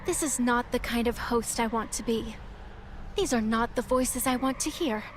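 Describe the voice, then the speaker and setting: An actress playing a gentle young woman in a video game who speaks earnestly and formally of longing for kind words. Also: fairly high pitch, normal speed, a little hiss or hum, natural voice. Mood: displeased. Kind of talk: scripted game character dialogue.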